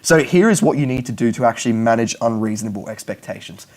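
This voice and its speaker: A man's voice: medium pitch, expressive, fast talker, a little mellow. A young man who speaks English natively, explaining language learning in a casual video lesson, often repeating and restarting words as he goes.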